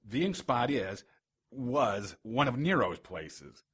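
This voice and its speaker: An actor playing a tough, scolding 1930s boss in a noir radio drama, blunt, slangy and world-weary. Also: male voice, medium pitch, very animated, slow pace, a bit bright, husky, exaggerated voice. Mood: grave.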